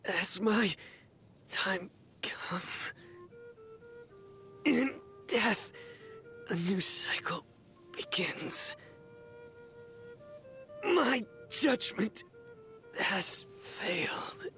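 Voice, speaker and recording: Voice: mid-range voice, expressive, extremely slow, pauses often, bright, forceful tone, soft background music, full character voice. An actor voicing a stern, righteous warrior judge in an anime game, with solemn, clipped, declamatory lines. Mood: dying, solemn.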